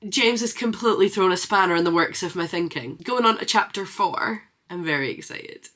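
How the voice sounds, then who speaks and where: A woman's voice: lowish voice, brisk, a bit bright. A woman giving casual, enthusiastic read-along reactions to a book, speaking informally and expressively. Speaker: book reviewer and vlogger.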